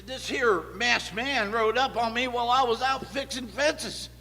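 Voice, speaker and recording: Man as plain-spoken cowboy, folksy, high lively voice, radio western, echoey theater. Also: a little slow, exaggerated voice. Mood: anxious. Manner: folksy ungrammatical Western talk. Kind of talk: live Old West radio play acting. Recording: echoey concert hall.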